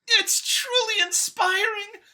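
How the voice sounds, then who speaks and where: A woman's voice: very high voice, fairly even intonation, slow, bright, forceful tone, pitched above the performer's own voice, full character voice. A woman voicing a nervous, earnest female alien mouse scientist in an audiobook, with a flustered, eager way of talking. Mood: admiring, eager. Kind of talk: acted audiobook character.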